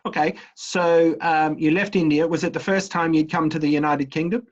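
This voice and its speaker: A middle-aged man, an associate dean, interviewing a student in a relaxed way with many ums and uhs. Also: on the high side, normal speed, lo-fi sound.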